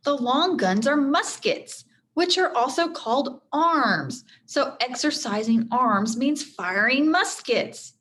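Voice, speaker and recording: A woman's voice: sits high, animated, slow pace, a bit bright, pitched above the performer's own voice, full character voice. A woman voicing an earnest, anxious, self-conscious young boy in a children's adventure storybook. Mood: puzzled.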